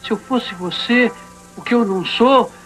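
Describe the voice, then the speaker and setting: A man voicing a gentle, anxious toy bear in a dubbed cartoon, mild and fretful. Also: very high-pitched, moderate pace, low-quality recording. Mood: cautious, fretful. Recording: old cartoon soundtrack with loud music.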